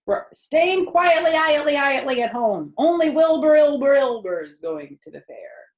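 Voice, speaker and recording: A woman's voice: high-pitched, very animated, normal speed, echoey room, voice pushed up, rough recording. A woman voicing a bossy mother goose in a storybook, snapping and stuttering repeats of her words. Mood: snappish, firm.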